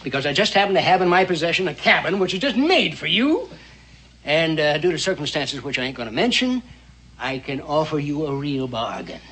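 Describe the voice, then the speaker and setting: A man's voice: a little high, sing-song, a little fast, fairly bright tone, breathy edge, heavily acted, rough recording. An older American man playing a wily, blustery frontier tavern keeper with rustic, folksy speech in a television western. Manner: rustic, folksy, ungrammatical talk. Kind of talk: 1960s television western acting. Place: studio set of a log tavern.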